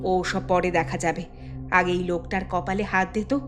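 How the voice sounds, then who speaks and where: A woman's voice: lowish voice, little pitch movement, moderate pace, over music, pitched below the performer's own voice, natural voice. A woman playing a resolute, protective eldest daughter-in-law in a folk tale, firm and reassuring. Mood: calm, determined. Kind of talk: Bengali folk tale dramatization.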